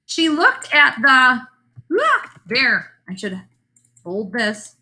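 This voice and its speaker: A woman's voice: high voice, huge pitch swings, extremely slow, sharp tone. A woman teacher giving a lesson to children, thinking aloud as she writes a story, with playful asides. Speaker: elementary school teacher.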